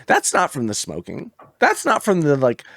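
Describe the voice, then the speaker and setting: A man chatting on a gaming podcast, his voice a touch raspy and his pitch rising and falling a lot. He swears freely and laughs easily, and the sound is dry and close with no room echo. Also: huge pitch swings, moderate pace, a bit bright. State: slightly raspy from singing. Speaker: podcast co-host. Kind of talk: casual chat.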